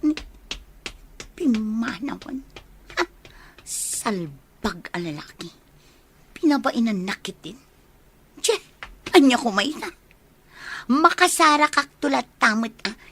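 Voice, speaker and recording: Animated, indignant Ilocano village woman na ginagampanan ng aktres. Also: a little high, takes it slowly, long pauses, slight background noise, exaggerated voice. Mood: indignant, hurt. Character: spurned, resentful village woman in a comedy. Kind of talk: Ilocano comedy radio drama acting.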